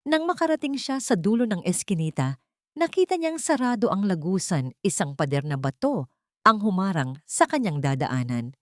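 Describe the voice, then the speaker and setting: A woman's voice: medium pitch, big pitch swings, normal speed, dry recording, studio quality. A woman storyteller reading a scripted story in a dramatic, suspenseful manner. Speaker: storyteller, narrator.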